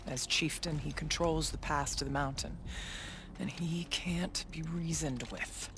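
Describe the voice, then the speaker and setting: Solemn shaman huntress lady, formal measured game drama, bit of background noise. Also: deep voice, fairly even intonation. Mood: somber. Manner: measured, formal storytelling phrasing. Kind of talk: dramatic video game cutscene acting.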